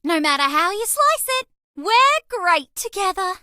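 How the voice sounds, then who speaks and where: Casting: eager, quirky young alien mouse girl for a game, sweet-natured, with very lively intonation and a close, dry sound. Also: very high voice, unhurried, no echo, heavily acted. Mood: tender. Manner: bubbly, earnest, quirky, affectionate. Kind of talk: dating game character voice lines.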